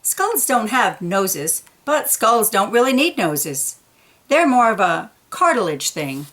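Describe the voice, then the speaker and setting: A woman reading a children's picture book aloud in an expressive, deliberate way, with playful emphasis on key words. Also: mid-range voice.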